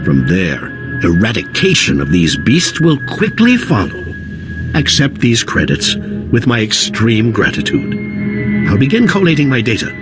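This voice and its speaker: Formal, swooping deep-voiced scientist. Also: male voice, wildly swooping pitch, a little slow. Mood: pleased, grateful. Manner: formal, articulate, theatrically emphatic. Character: earnest, formal male scientist, grateful and dryly optimistic. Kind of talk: video game voice acting. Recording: loud music under the dialogue.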